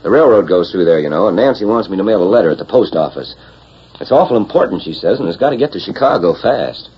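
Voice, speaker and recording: An actor playing an earnest, troubled young cowboy husband with hesitant folksy speech in a 1940s radio western. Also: male voice, low-pitched, lively intonation, fast talker. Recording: noisy, muffled 1940s radio recording.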